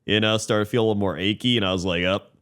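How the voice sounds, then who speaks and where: Man, podcast co-host, congested, stuffy from a cold, Muppet-like voice, lower pitch, rambling casual chat. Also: steady tone, very fast, fairly bright tone, smooth. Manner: rambling storytelling with many fillers.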